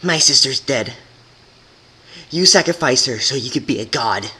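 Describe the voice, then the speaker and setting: Man acting a bitter, high-pitched rebel mare. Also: animated, normal speed, pushed and bright, breathy edge, full character voice. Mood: bitter accusation. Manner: hostile, accusing snarl.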